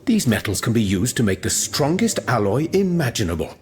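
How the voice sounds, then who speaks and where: A man voicing a confident, learned gentleman chemist in a video game, musing and precise. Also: mid-range voice, big pitch swings, brisk, natural voice. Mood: intrigued. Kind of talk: scripted video game cutscene dialogue.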